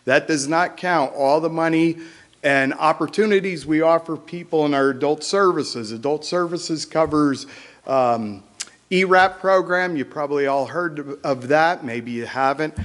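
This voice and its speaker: A man in his fifties, a county behavioral health administrator, gives a loud, blunt and brisk report at a public meeting, standing back from the microphone. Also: fairly high pitch. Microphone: speaking away from the microphone.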